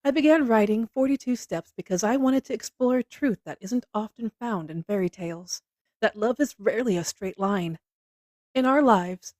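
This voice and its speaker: A woman narrating a literary text in a measured, reflective manner. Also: mid-range voice, no echo, clean studio sound. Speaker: narrator reading a book aloud.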